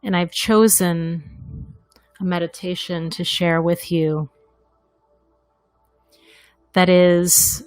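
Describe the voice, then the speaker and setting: A woman leading a guided meditation in a gentle, deliberate manner, leaving long pauses between phrases. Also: fairly low pitch, level tone, dry recording, faint music behind.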